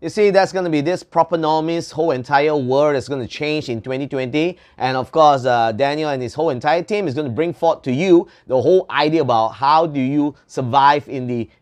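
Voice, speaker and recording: A man's voice: on the high side, a little fast, few pauses. A man from Malaysia, hosting a talk show interview and steering it with upbeat, leading questions.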